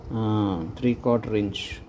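A man giving an unscripted how-to narration while working at a table indoors, speaking haltingly in trailing phrases. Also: on the low side, steady tone, a little mellow. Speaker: hobbyist presenter. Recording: noticeable background noise.

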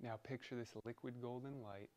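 A man leading a guided meditation in a soothing, deliberate manner, pausing between short phrases. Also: medium pitch, steady tone, darkish tone, rough recording.

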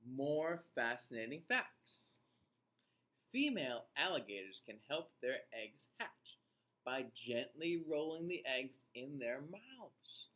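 A man in his forties reading a children's book aloud and speaking deliberately to young viewers. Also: medium pitch, big pitch swings, bright, forceful tone. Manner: slow, deliberate, child-directed delivery. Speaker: children's read-aloud host. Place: small indoor room.

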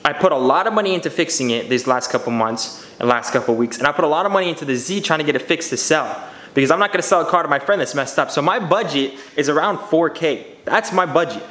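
A man's voice: a little high, quick pace, a bit bright. A man in his twenties talking straight to camera in his home garage, earnest and slangy, addressing his viewers as "you guys". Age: 20s. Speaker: car channel vlogger. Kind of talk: casual vlog talk to camera. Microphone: camera mic. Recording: echoey garage room.

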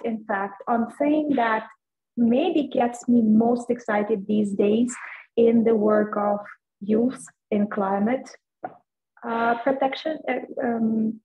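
A woman's voice: a little high, mellow. A young woman politely putting a question to a panel in a formal, courteous way over a video call. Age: young adult. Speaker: panel participant asking a question. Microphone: earbud on video call. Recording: thin phone-like video call.

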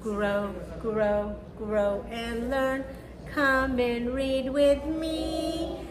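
Woman, high voice, children's read-aloud teacher, encouraging, simple phrasing, roomy echo. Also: steady tone, very slow, music in the background. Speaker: children's storytime reader and teacher. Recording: roomy echo with faint background noise.

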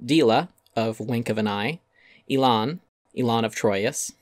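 A man's voice: medium pitch, a little slow, dry recording. A man giving a dramatic reading of a critical essay, wry and articulate with theatrical emphasis. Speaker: essay narrator.

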